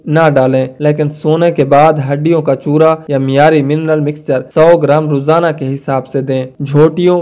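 Mellow Pakistani man, scripted instructional narration. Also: on the high side. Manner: formal, instructive. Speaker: narrator of farming advice. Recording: dull, muffled lo-fi voice-over.

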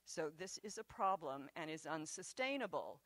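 An older woman giving a conference talk from a podium in a large hall, speaking into a handheld microphone in a measured, conversational way. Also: deep voice, very animated, fairly bright tone. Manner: measured, conversational lecturing with occasional fillers.

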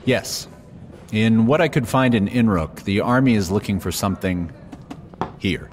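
A sombre fantasy investigator played by a man reports to a council. His lowish voice rings in a long hall reverb with faint noise, as in an audio drama. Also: unhurried, natural voice. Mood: sombre, informative.

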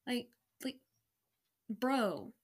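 A woman's voice: on the high side, expressive, extremely slow, husky. A young adult woman casually reading her own story aloud with self-mocking, incredulous commentary. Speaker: online reader of own stories.

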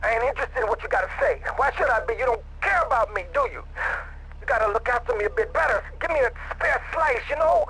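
High, bright-voiced gangster sidekick, whiny, resentful, lively swings, game street noise. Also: male voice, fast, slightly rough, heavily acted, low-quality recording. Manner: whiny, emotional, insistent. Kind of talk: video game voice acting.

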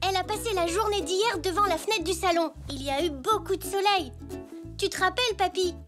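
A woman's voice: very high voice, fairly quick, over music. A woman voicing an earnest, considerate little boy zebra in a French children's cartoon. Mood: curious, eager.

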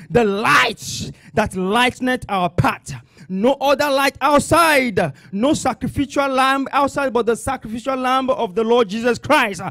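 A man's voice: extremely high pitch, fairly bright tone, dry recording. A male pastor with a non-native English accent, preaching and praying in a fervent, emphatic way with repeated phrases. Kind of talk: sermon and congregational prayer.

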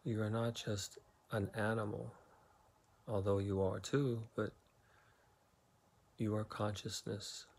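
A man leading a guided meditation, giving slow, soothing instructions with long pauses between phrases. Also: on the low side, flat delivery, a little mellow, breathy edge.

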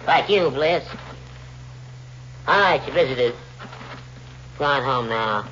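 A man's voice: mid-range voice, expressive, slow, a bit bright, muffled recording. Crotchety old man, noisy old radio. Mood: grumpy. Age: elderly. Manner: grumbling, curt, repeated "yeah". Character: crotchety old man, grumbling, suspicious uncle. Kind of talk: 1940s radio acting.

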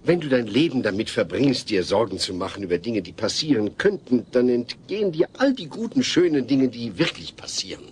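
A middle-aged sitcom dad played by a man explains things earnestly in a mid-pitched, animated voice. He sounds patient and a little worried, with faint tape noise around him. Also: fairly quick, few pauses, lightly acted. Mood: patient reassurance. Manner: explanatory, reassuring. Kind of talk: dubbed sitcom audio play. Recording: noisy old cassette audio play.

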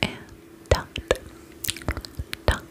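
A woman playing a soothing, attentive vampire spa beautician in an ASMR roleplay, whispering gently and reassuringly in a breathy voice. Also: natural voice. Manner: gentle, reassuring, slow and unhurried.

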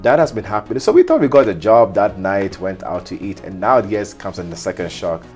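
Man, low voice, expressive podcast guest storyteller, faint music bed. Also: brisk. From Ghana, long life in Kenya. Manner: expressive, acts out quoted exchanges, laughs while talking. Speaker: podcast guest, cyber security specialist. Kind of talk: animated personal storytelling in an interview.

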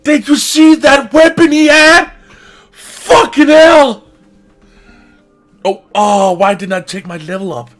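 A man giving casual, excitable, sweary commentary as he hosts a gaming let's play. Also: very high voice, slow pace, long pauses, soft background music. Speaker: gaming video host. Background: fantasy video game audio.

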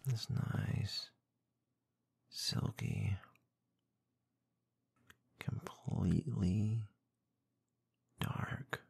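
A man doing intimate ASMR roleplay into a close binaural microphone, murmuring in a husky, breathy, often whispered voice with a slow, teasing, dominant manner. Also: on the low side, fairly even intonation, darkish tone, no echo. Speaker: ASMR audio performer.

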